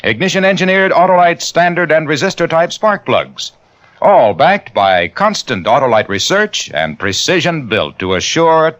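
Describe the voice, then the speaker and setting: A male radio announcer who introduces the star and reads the sponsor's commercial in a smooth, salesman-like way. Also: a little high, fairly bright tone. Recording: dull old radio broadcast.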